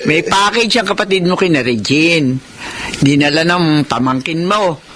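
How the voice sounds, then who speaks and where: A wise, motherly old grandmother in a Filipino radio drama. Her delivery swings widely in pitch, over clearly noisy audio. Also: unhurried. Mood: welcoming. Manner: animated, motherly.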